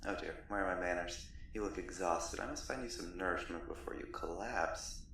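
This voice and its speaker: Courtly vampire captor, male performer, ASMR roleplay: low, gravelly, condescending, politely menacing. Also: moderate pace, recorded from a distance. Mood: polite, teasing. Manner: genteel, condescending, softly threatening. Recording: roomy echo, off the mic, faint noise.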